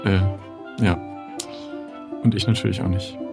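A man chatting casually as a guest player, halting and repeating his words. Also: deep voice, steady tone, gravelly. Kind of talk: casual chat. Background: music.

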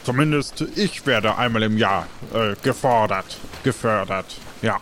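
Male actor as chatty seafaring postman, slow, hesitant, colloquial, improvised adventure play, faint noise behind. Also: fairly low pitch, a bit bright. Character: chatty male postman sailing passengers to a pirate island.

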